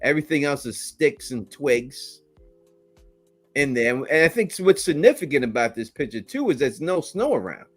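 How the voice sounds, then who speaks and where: A man's voice: medium pitch, big pitch swings, moderate pace, dry recording, soft background music. A man co-hosting a casual podcast, talking emphatically and repeating himself as he pushes his theories. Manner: emphatic, repetitive, insistent theorizing. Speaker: co-host breaking down a trailer.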